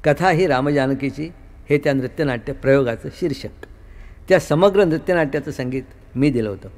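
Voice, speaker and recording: A man's voice: a little high, moderate pace, a little mellow. A man presenting a scripted radio music show in formal, literary phrasing.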